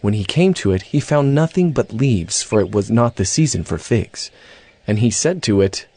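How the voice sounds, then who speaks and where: A man's voice: fairly low pitch, expressive, moderate pace, dark tone. A man narrating scripture from a script, as the storyteller of a dramatized reading. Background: music.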